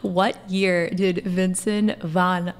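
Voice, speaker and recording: A giggly young woman co-hosts a music reaction video in relaxed chat. Her voice sits a bit low, with a gravelly fry running through it. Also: drawn-out words. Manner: giggly, self-deprecating. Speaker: co-host of a music reaction channel. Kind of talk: casual chat.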